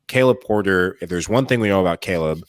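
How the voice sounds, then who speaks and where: A man's voice: sits low, quick pace, dry recording. A man co-hosting a soccer podcast, talking through tactics in long flowing runs and acting out what players and coaches might say. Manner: animated, talks in long flowing runs with acted-out quotes. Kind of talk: casual analytical chat.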